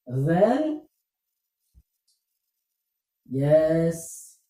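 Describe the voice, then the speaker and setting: Puppet-show storyteller, halting and deliberate delivery, slow with a lively swinging pitch, distant echoey recording. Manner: halting, deliberate phrasing in non-native English. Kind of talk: slow scripted puppet-show dialogue on religion. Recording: roomy echo, distant muffled microphone.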